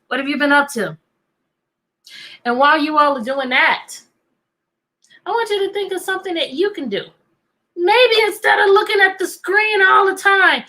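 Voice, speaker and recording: A woman teacher hosting an interactive read-aloud for children, talking in an animated way and putting questions to her young listeners. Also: high-pitched. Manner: animated, asking listeners questions and repeating them.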